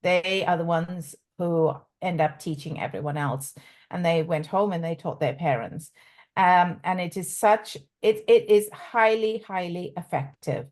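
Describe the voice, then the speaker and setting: A middle-aged woman from South Africa, a coach and author, talking in a casual interview with many pauses and restarts. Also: on the low side, a little mellow. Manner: halting, many ums and restarts, laughs often.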